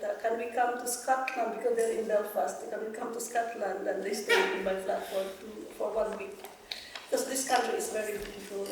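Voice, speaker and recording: A woman's voice: medium pitch, darkish tone. A woman chatting casually and cheerfully in a group discussion. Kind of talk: casual chat. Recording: echoey room from far off, noisy.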